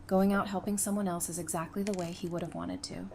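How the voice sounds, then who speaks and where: A woman's voice: fairly low pitch, fairly even intonation, quick pace, darkish tone, slight background noise, lightly acted. A woman playing Talissa, a former psychiatrist who talks in dry, teasing banter in a fan audio drama.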